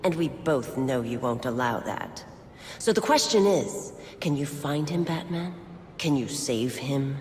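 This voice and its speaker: Cool assassin, deep female voice, deliberate, challenging, long echo, video game. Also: animated. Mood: cool taunting. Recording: echoey hall, faint noise.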